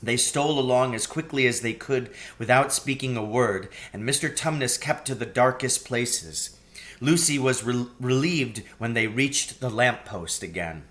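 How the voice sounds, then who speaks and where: Unhurried man reading a storybook. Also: medium pitch, fairly bright tone. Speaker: audiobook reader. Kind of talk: scripted storybook narration.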